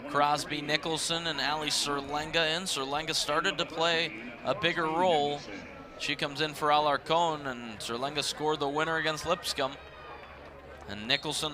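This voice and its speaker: A man calling live sports play-by-play in a live commentary style. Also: fairly high pitch. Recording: noisy live stadium broadcast. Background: stadium ambience.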